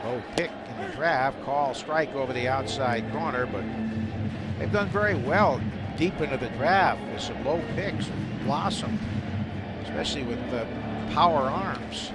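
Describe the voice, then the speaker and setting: A man's voice: mid-range voice, a little slow. A man calling a baseball game play by play as live radio commentary. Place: outdoor stadium broadcast. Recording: very noisy outdoor ballpark broadcast. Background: loud steady outdoor ambient noise.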